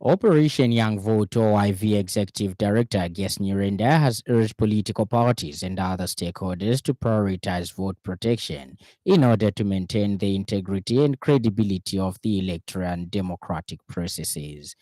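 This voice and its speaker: A man with a Zambian accent anchors a radio news bulletin. His voice is low and dark, with a relaxed tone and very little room sound. He reads the script formally, in measured announcer phrasing. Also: no room sound.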